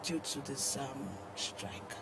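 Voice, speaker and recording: A young woman with a Nigerian accent co-hosting a newspaper review discussion, speaking in a hesitant, halting way. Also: very deep voice, animated. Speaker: news show co-host. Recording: clear background noise and ambience.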